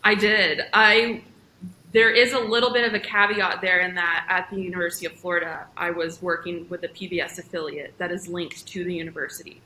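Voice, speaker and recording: Young woman journalist on an interview, low-ish crisp voice, chatty, echoey room. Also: a little hiss or hum. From grew up in Jordan and Ethiopia. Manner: lively, quick storytelling. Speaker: journalist guest. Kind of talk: casual interview, storytelling. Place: at home, indoors.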